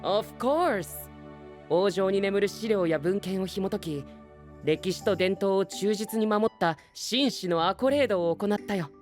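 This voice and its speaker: Proper little gentleman knight, light voice, formal and earnest, tosses in english, anime drama, soft music. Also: sits high, a little slow. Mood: proud, earnest. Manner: formal, courtly, with English interjections. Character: refined, earnest young gentleman knight, formal and tradition-loving.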